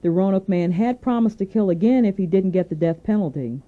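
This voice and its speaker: A woman anchoring a scripted radio newscast, reading in a formal, measured way. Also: mid-range voice. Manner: formal, measured newsreading delivery. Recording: narrow tinny AM radio aircheck.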